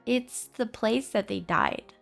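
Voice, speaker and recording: A woman acting an affectionate, playful young girlfriend in an intimate audio roleplay, speaking softly and chattily. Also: a little high, lively intonation, takes it slowly, creaky, soft background music, full character voice. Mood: explaining, hesitant. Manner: soft, tender, chatty with teasing asides.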